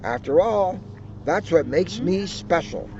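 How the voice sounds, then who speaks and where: A man acts out a bouncy storybook tiger, cheerful and full of himself. The voice sits fairly high and is chummy and emphatic, over a noisy, slightly muffled outdoor recording. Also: animated, takes it slowly, darkish tone. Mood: proud, cheerful. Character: bouncy, boastful, cheerful cartoon tiger, male.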